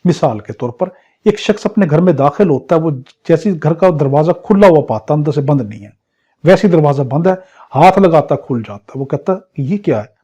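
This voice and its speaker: A man's voice: fairly high pitch, expressive, moderate pace, mellow, breathy edge. A man with a Pakistani accent lecturing as a law teacher in an exam crash course, drilling points by repetition and rhetorical questions. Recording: dull, lo-fi muffled audio.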